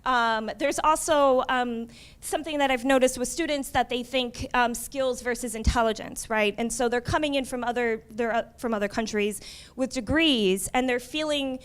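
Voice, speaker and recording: A young woman from Miami presents at a conference in a relaxed, conversational way, dropping wry asides as she goes. Her voice sits high and comes through smooth and clear. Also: moderate pace. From Miami, USA. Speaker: community college librarian.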